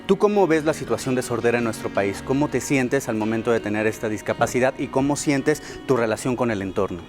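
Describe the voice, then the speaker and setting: A man's voice: medium pitch, lively intonation, a little fast, music in the background. A man with a Mexican accent hosting a TV interview, asking questions conversationally with "eh" and "este" fillers. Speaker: TV interview host.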